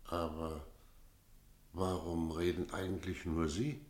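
A sly, talkative innkeeper in a radio play. His voice sits low, and he speaks with pointed, deliberate emphasis. He is middle-aged and wry, a storyteller who likes a shrewd deal. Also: level tone. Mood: probing. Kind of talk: German radio play acting and first-person narration.

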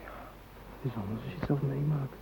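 A man's voice: medium pitch, fast, crowd noise, natural voice. An actor playing an uneasy, chatty male hostage in naturalistic radio drama, talking in a colloquial, hesitant, musing way. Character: chatty, uneasy male hostage who loves his dog. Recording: noisy radio drama recording.

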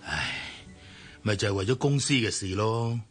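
A husky, rough-edged voice with a lively, swinging pitch, a worried middle-aged director who keeps sighing and urging. He speaks with a Hong Kong Cantonese accent in a radio drama. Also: moderate pace, faint music behind. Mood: urgent, pleading. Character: anxious, pleading older company director.

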